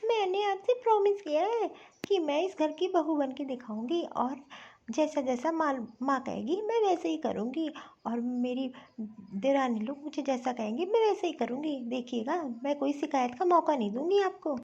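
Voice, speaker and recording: Spoiled, scheming young bahu for family cartoon female actor; extremely high voice, whiny and bossy, dull recording. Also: lively intonation, normal speed, darkish tone. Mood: whiny pleading. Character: spoiled, scheming young city daughter-in-law. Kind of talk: Hindi family-drama cartoon voice acting.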